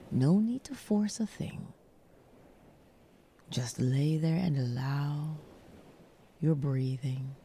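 A woman leads a nighttime guided meditation in a low, mellow voice with a creaky edge. She is breathy and soothing, often close to whispering, and leaves generous pauses between short, gentle instructions. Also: a little hiss or hum.

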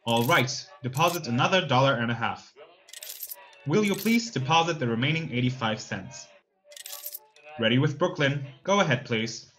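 A man's voice: mid-range voice, animated, moderate pace, a bit bright, lightly acted. A man playing a businesslike long-distance telephone operator in a radio play, formal, procedural and detached. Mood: detached, insistent.

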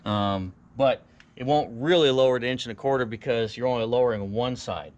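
A young man in a garage at night, casually explaining, step by step, how he lowers his car, picked up by a camera mic on the floor. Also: a little fast, lo-fi sound. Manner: laid-back, laughs easily, explains step by step. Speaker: car vlogger working on his car. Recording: tool clatter and ratchet noise.

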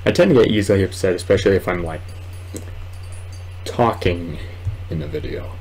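A man in his twenties giving casual, rambling let's play commentary at home, halting at times. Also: low-pitched, a little mellow, soft background music. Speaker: gaming video host. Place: small room at home, indoors.

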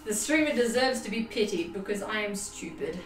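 A young Australian woman chatting to her livestream viewers, animated and self-mocking, laughing often. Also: mid-range voice, brisk. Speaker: livestream host. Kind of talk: casual livestream chat. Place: home sewing room. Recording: roomy, distant, faint music behind.

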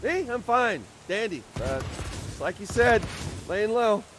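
A sarcastic young American rebel from an action video game. His voice is fairly high and swings in pitch with flippant, slangy bravado. Game music plays behind him. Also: very animated, slow, exaggerated voice. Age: young adult. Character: cocky, sarcastic young male superpowered rebel.

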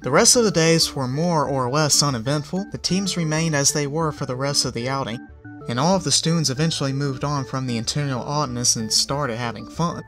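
A fanfiction reader narrating a storybook in a steady, even way. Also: medium pitch. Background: faint music.

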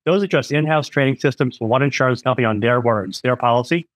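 A man's voice: medium pitch, fast, no room sound. A middle-aged man, an independent insurance agent, explains things frankly over a video call, with many "um"s and "you know"s. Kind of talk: explanatory interview talk.

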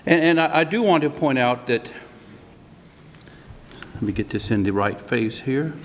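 A man's voice: medium pitch, lively intonation, unhurried, a little hiss or hum. A middle-aged man speaking at a podium in a hotel conference room, giving an interactive, conversational talk as a consultant. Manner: conversational, restarts, laughs at own jokes. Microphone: podium mic. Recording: long hall reverb.

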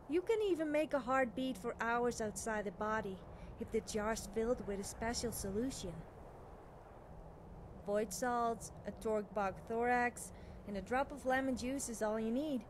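A woman's voice: a little high, darkish tone, a little hiss or hum, close to an everyday voice. A young woman playing an eager, nervous, cheerfully amoral witch student in video game dialogue, chatty and girlish, often hesitating and backtracking.

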